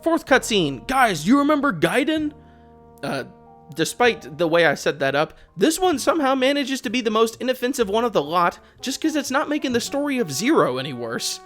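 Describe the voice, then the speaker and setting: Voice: medium pitch, big pitch swings, normal speed, fairly bright tone, soft background music. A video essayist giving sarcastic, exasperated, opinionated commentary on a video game. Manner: sarcastic, exasperated, swearing rant. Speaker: video essayist, channel host.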